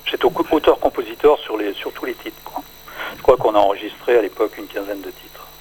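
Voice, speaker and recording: An older man, a singer-songwriter, talking in a relaxed radio interview, hesitant and full of fillers. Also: on the low side, breathy edge, poor audio. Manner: hesitant, many pauses and fillers.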